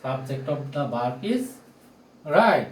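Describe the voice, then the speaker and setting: A man in his forties teaching a grammar lesson to camera in a deliberate, emphatic way, repeating points and checking with questions. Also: mid-range voice, sing-song. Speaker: English teacher. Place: small indoor room beside a whiteboard. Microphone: phone or camera a short distance away. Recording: echoey bare room, camera mic.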